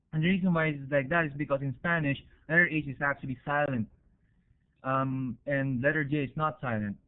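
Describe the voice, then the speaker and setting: Filipino-accented man, thin phone-like audio. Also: on the high side. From Philippines. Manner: hesitant, explanatory, checks in with "right?" and "okay?". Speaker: university researcher presenting. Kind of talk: informal academic presentation.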